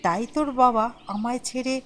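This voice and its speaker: A woman voicing an anxious, doting village mother in an animated ghost story, fretful and coaxing. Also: fairly high pitch, brisk, close to an everyday voice. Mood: soothing, weak.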